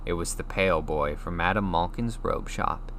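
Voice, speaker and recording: A man reading a storybook aloud as an expressive audiobook narrator. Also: low voice, normal speed. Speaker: audiobook reader. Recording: faint noise and hum.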